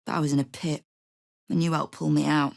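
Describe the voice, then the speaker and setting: Casting: tough thieves' guild boss for a game, female, low pitch, wry, controlled menace, dry close recording. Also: level tone, a little fast, gravelly, no room sound. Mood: wry, measured. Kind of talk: video game character dialogue.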